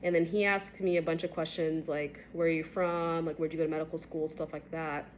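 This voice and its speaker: A woman's voice: level tone, fairly quick, slight background noise, rough recording. A woman in her twenties, a medical resident born in Kenya, candidly telling a personal story to camera with many fillers. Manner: candid, conversational, many fillers, rising pitch when recounting shocking moments.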